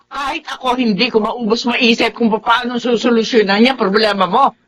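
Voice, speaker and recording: A woman's voice: a little high, steady tone, normal speed, fairly bright tone. A woman acting a fretful, easily scandalised older mother in a radio drama. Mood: alarmed, dismayed.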